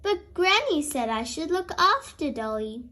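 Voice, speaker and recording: Woman voicing an excitable, high-pitched little girl pig. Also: unhurried, exaggerated voice. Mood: alarmed. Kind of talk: children's storybook character voice.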